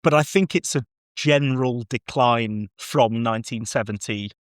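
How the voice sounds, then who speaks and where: A man's voice: medium pitch, normal speed, smooth, dry recording. Male film podcaster, mid-range voice, casual, enthusiastic and sweary delivery, close dry sound. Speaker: podcast co-host. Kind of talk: casual film discussion chat.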